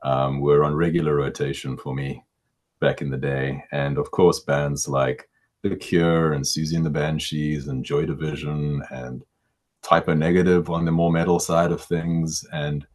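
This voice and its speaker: A middle-aged man with a baritone voice, a musician answering interview questions in a casual, halting way, often repeating words as he thinks. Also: flat delivery, a little mellow.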